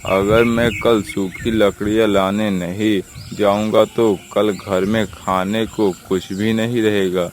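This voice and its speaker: A humble, hardworking crow father from a children's cartoon, played by a man. He has a slightly low voice and talks slowly and earnestly. Faint background noise sits behind him. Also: level tone. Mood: weary, concerned. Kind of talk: Hindi children's cartoon story dialogue.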